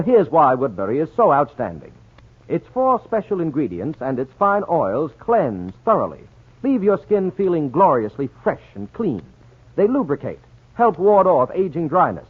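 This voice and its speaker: Animated 1940s American radio announcer, male. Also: fairly high pitch, normal speed, slightly rough, narrow, tinny audio. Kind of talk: 1940s radio announcing, scripted narration and advertising. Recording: thin 1940s radio recording, music behind.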